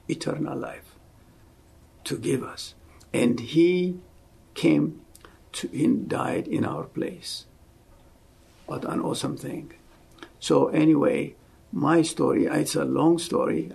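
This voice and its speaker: A man in his seventies from Ethiopia, with an Ethiopian accent, giving an earnest, fervent faith testimony as an interview guest and often dropping to a soft hush. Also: medium pitch, vocal fry, breathy edge. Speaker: guest, missionary.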